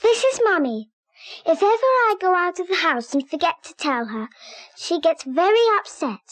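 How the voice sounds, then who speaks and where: A woman's voice: very high-pitched, big pitch swings, normal speed, fairly bright tone, dry recording, exaggerated voice, poor audio. A woman voicing an earnest young child who narrates a scripted safety cartoon. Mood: earnest, simple.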